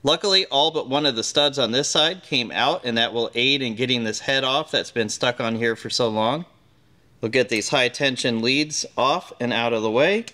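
A man's voice: mid-range voice, moderate pace, sharp tone. Matter-of-fact man explaining in his garage. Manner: plain, matter-of-fact explaining while working. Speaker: host. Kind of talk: hands-on workshop demonstration. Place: large garage workshop, indoors.

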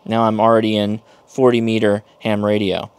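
A man's voice: lowish voice, monotone, normal speed, clear, resonant tone, no room sound. A man in his thirties giving a casual hands-on review as he demonstrates a device. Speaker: host, product reviewer. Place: small indoor workshop room.

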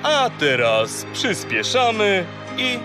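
An actor playing a gruff, boastful sea god who guides and teases like a showman in a children's audio drama. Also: sits high, wildly swooping pitch, slow, over loud music, exaggerated voice. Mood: bossy, teasing.

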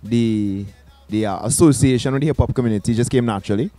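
A man's voice: mid-range voice, fast talker, faint music behind. A young man with a Trinidadian accent, talking casually and reflectively as an interview guest, holding a handheld mic in a small lounge set. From Trinidad and Tobago. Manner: relaxed, reflective, with restarts and local slang. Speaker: guest musician.

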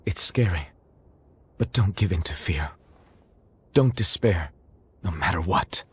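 Casting: steady, kindly warrior mentor, low register, measured and reassuring, anime game drama. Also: male voice, husky, exaggerated voice. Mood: tender, reassuring. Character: gentle, steady swordsman mentor reassuring a frightened child.

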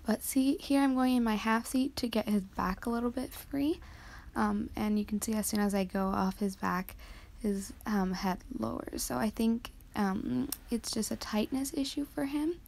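A teenage girl with a slightly high voice and plenty of gravelly vocal fry talks quietly over her own riding footage. She explains each move in a soft, hesitant way, often pausing on um. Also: a little hiss or hum. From Canada. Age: teens. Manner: soft, hesitant with frequent ums. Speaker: vlogger.

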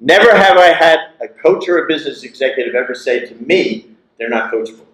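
A man's voice: on the high side, fairly quick. Older guy giving a keynote in a big lecture hall, punchy and repetitive, lots of room echo. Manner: emphatic, animated gesturing, repeats key phrases. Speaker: keynote speaker. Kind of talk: motivational keynote speech. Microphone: lapel mic.

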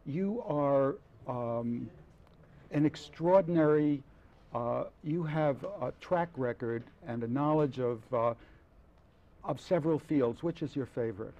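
A man's voice: medium pitch, warm tone, muffled recording. An older man hosting a studio interview, hesitant, with frequent fillers and restarts. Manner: halting, many fillers and restarts, admiring. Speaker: TV interview host. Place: dark TV studio set. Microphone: lapel mic.

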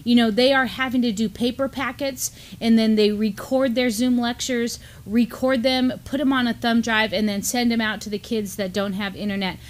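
Female co-host, middle-aged, somewhat higher pitch, earnest and conversational news commentary. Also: steady tone, normal speed, few pauses. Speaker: co-host and educator. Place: indoor studio desk, backdrop.

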